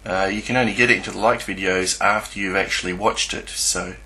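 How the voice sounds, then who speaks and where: A man with a low, gravelly voice that still has a bright, forceful edge. He talks through what is on his screen off the cuff, halting and searching for his words. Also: fairly even intonation. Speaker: YouTuber presenting a screen walkthrough. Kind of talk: unscripted screen-share commentary.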